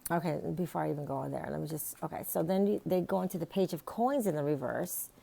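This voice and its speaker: A woman with a raspy, hoarse voice giving a casual tarot reading, rambling with many fillers and restarts. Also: low-pitched, fast, dark tone. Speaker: tarot reader.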